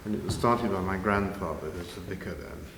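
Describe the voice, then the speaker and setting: A man's voice: deep voice, fast talker, a bit bright. An older man reminiscing unscripted as an interviewee. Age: 50s or older. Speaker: interviewee, long-time pantomime band member. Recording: echoey hall, long reverb, faint noise.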